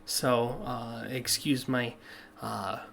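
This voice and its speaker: A man teaching a recorded class lesson over slides, with a slightly hoarse voice and a plain, patient way of explaining that uses many "uh" fillers. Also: mid-range voice, little pitch movement, extremely slow, a bit bright.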